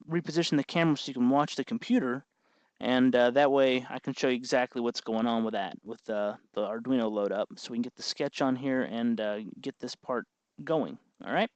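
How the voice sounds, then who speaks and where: A man hosting a hobby tutorial, explaining each step in an informal, folksy, unhurried way. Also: mid-range voice, smooth, no room sound, muffled recording. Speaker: host of a hobby electronics tutorial. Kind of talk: informal hands-on tutorial narration.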